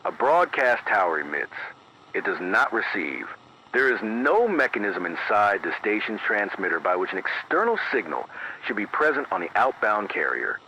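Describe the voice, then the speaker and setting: Deep, bright-edged radio emergency announcer, acted by a man. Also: big pitch swings. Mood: grave, measured. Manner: measured, formal, deliberate, precise. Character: grave, careful late-night male emergency radio announcer. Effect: AM radio filter. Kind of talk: scripted analog horror emergency news bulletin. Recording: dull band-limited AM radio, faint noise.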